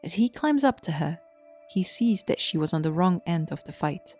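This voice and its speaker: A woman's voice: on the low side, lively intonation, normal speed, darkish tone, faint music behind. A woman narrating a scripted story as the narrator of an audio drama.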